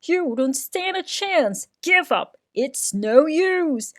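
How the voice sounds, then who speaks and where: An excitable, greedy young boy squirrel from a children's storybook, played by a woman reader. The voice is pitched very high and hollers every phrase with squabbling, emphatic force. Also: takes it slowly, dry recording, studio quality. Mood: squabbling, defiant. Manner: shouting, exclamatory, emphatic.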